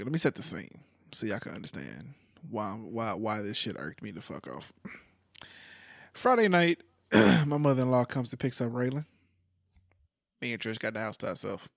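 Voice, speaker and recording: Young Texan man, podcast host, easygoing and profane, mid pitch, dry recording. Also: gravelly, no room sound. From Houston, Texas. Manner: laid-back, swears freely, laughs often. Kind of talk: casual chat.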